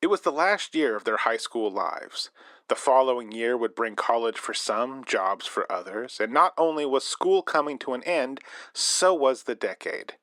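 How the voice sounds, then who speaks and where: A man's voice: medium pitch, expressive, normal speed, a bit bright, no echo, close to an everyday voice. An actor playing a male radio host narrating a spooky story in scripted style. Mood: storytelling.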